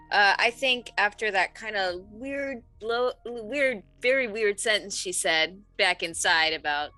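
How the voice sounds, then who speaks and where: A woman chatting and roleplaying as a tabletop game player, informal, slangy and animated in manner. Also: medium pitch. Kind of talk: casual improvised roleplay chat.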